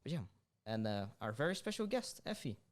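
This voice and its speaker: A man's voice: medium pitch, very animated, a little fast. A young man hosting a podcast, upbeat but hesitant, with many restarts. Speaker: podcast host. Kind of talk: casual podcast interview.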